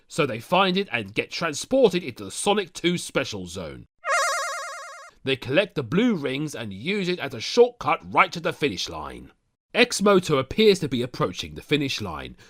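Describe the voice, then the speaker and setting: A man's voice: fairly high pitch, fairly bright tone. A man narrating a scripted comic story recap, dropping sardonic asides to the listener. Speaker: narrator of a fan audio story.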